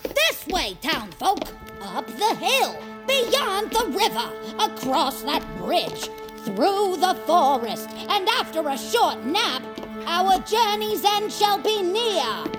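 Miss Boo, very high-voiced bird actress. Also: female voice, very animated, slow pace, music in the background, exaggerated voice. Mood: grandly theatrical. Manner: theatrical, blithe. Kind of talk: audio fiction comedy acting.

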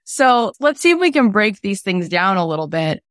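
A woman's voice: mid-range voice, sing-song, normal speed, a bit bright, dry recording. Enthusiastic woman podcast host, dry sound. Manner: enthusiastic, guiding questions. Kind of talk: podcast interview conversation.

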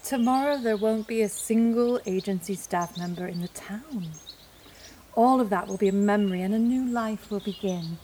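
A woman's voice: mid-range voice, normal speed, dark tone, a little hiss or hum, natural voice. An actress in an audio drama playing a sensible, resigned woman with a steadying, plain-spoken manner. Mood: resigned, reflective. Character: resigned, sensible, soothing woman, school director. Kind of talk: naturalistic audio drama acting.

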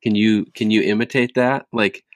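A man's voice: lowish voice, steady tone, moderate pace, dry recording. A man hosting a casual sports podcast, laid-back and stumbling through restarts. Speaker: podcast host. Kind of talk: casual chat.

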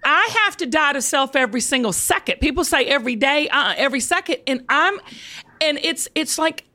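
A woman podcast host chatting casually and heartfelt with a guest, expressive and confiding, with emphatic affirmations. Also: high-pitched, brisk. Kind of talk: casual heartfelt interview chat.

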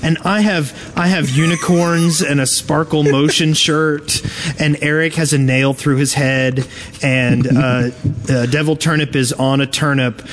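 A man's voice: a little high, steady tone, measured pace, a little hiss or hum. A man hosting a live podcast at a convention, playful and hesitant, often restarting his sentences. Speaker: podcast host. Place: convention floor.

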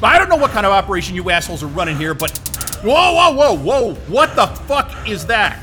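High-strung, panicked hothead. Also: male voice, very animated, a little fast, bright, forceful tone, slight background noise, exaggerated voice. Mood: defiant, frantic. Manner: agitated, sweary, repeating words. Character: panicked, defiant, hot-headed man trapped in a facility. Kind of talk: audio drama acting.

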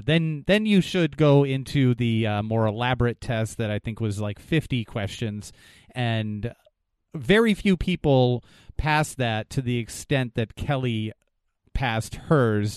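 A man's voice: mid-range voice, a little mellow, clear, resonant tone, no room sound. A man with a New England accent co-hosting a podcast, chatting casually and rambling with fillers. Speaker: podcast co-host.

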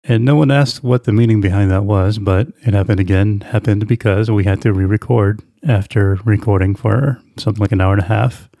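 A man with a deep, soft-edged voice hosts a conversational podcast interview. His tone is dark and mellow. The sound is very dry, with little room around him. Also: steady tone, a little fast, gravelly, hardly any pauses, no room sound.

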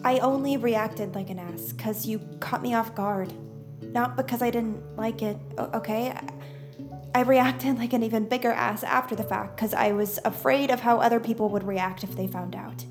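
Woman playing nervous american teen girl, kinda high, halting and self-deprecating, audio drama w music clearly behind. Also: steady tone, lightly acted. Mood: hesitant, apologetic. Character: awkward, scared teenage girl with a sarcastic streak. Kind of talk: naturalistic audio drama acting.

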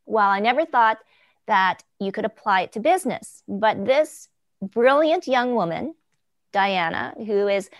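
A woman's voice: medium pitch, animated, measured pace, no echo. A woman hosting a group video chat in a conversational, somewhat rambling way, circling back mid-sentence. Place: remote video call.

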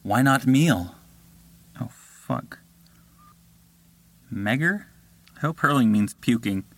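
A man's voice: mid-range voice, lively intonation, takes it slowly. A male voice actor muttering to himself and chuckling between recording takes. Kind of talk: recording-session outtakes.

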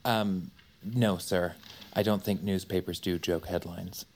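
An actor playing a nervous, deferential presidential secretary in an audio drama, apologetic and hesitant. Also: male voice, deep voice, fairly even intonation, unhurried, a little mellow, a little hiss or hum. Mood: anxious.

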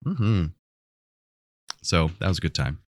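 A man's voice: low-pitched, very animated, measured pace, no room sound, studio quality. A man co-hosting a podcast, chatting casually and loosely about pop culture. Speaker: podcast co-host.

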